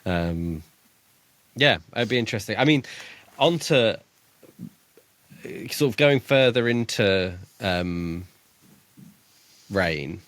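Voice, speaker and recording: A man's voice: fairly low pitch, expressive, slow pace, pauses often, a bit bright, no echo. A man co-hosting a podcast, talking about a book in a hesitant way, with many "um"s and "yeah"s. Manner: hesitant, lots of "um" and "yeah", laughs easily. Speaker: podcast co-host. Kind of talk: casual book discussion.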